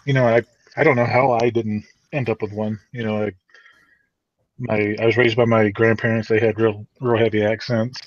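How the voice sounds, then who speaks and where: A middle-aged man from northern Louisiana with no strong accent, chatting in a relaxed, wry way and chuckling through his stories. His voice sits in a medium range. The audio comes through dull and lo-fi, dry and close, like a call feed. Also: fairly low pitch, normal speed, no room sound. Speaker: guest on a live stream. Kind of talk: casual storytelling chat.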